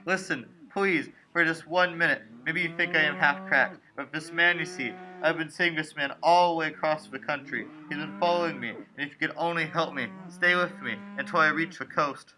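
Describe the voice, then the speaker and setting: Young man acting a haunted motorist, sharp bright voice. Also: fairly high pitch, moderate pace, few pauses, slight background noise, low-quality recording. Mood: desperate, pleading. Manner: earnest, dramatic. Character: frightened, haunted young male motorist narrating his ordeal. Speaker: student actor. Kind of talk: old-time suspense radio play acting.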